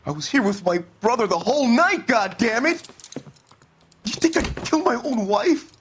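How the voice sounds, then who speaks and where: An actor playing a shocked young husband who has just learned his wife was murdered, shouting his denials. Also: very high-pitched, big pitch swings, normal speed, slight background noise, full character voice. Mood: distraught. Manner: shouting, distraught outbursts. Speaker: murder suspect.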